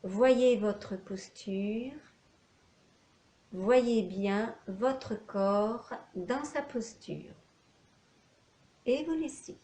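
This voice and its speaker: A woman leading a guided yoga nidra relaxation as an instructor, speaking in short instructional phrases with long pauses. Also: a little high, warm tone. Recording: echoey room, faint background noise.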